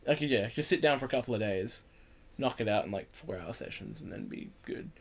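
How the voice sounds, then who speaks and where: A man's voice: lowish voice, animated, a little fast, vocal fry, slightly rough. A man hosting an informal group chat on a podcast, often restating his points for emphasis. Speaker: podcast host.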